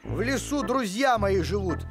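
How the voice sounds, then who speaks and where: An actor playing a simple, good-hearted cartoon dog with earnest, folksy phrasing. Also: male voice, high-pitched, animated, normal speed, music in the background. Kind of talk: cartoon voice acting.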